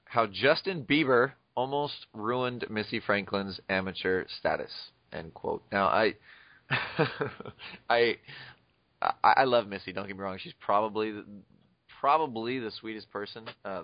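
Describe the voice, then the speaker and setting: A man's voice: on the low side, a little slow, dry recording, lo-fi sound. A man hosting a podcast, chatty and casual, with frequent fillers and amused asides. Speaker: podcast host.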